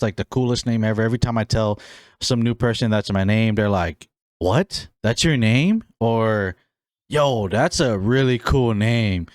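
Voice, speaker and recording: A man's voice: medium pitch, no echo, studio quality. A young man from Hawaii hosting his own podcast, chatting casually about himself with a rambling, friendly manner full of fillers and restarts. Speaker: podcast host. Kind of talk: casual solo podcast chat.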